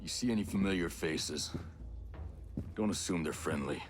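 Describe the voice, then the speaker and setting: Man acting a very deep, commanding soldier. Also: moderate pace, over music, close to an everyday voice. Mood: firm, guarded. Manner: terse, commanding. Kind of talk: video game cutscene acting.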